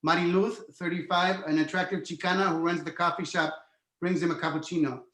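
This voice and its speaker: A man's voice: high voice, level tone, brisk, fairly bright tone. A man reading screenplay stage directions as a narrator, in an echoey room. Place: indoor room on virtual call.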